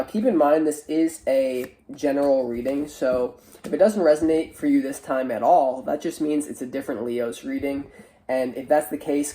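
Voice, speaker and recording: Online tarot reader, very mellow timbre, stuffy and nasal, informal and hesitant spiritual guidance. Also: mid-range voice, rough recording. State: stuffy, congested nose. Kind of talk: casual spiritual tarot reading to camera.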